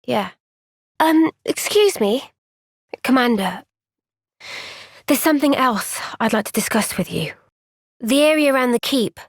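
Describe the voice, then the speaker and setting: A young woman voicing a gentle, caring female soldier in a video game, speaking softly and earnestly with occasional sighs. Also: on the high side, dry recording, studio quality. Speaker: voice actor. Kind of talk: video game cutscene dialogue.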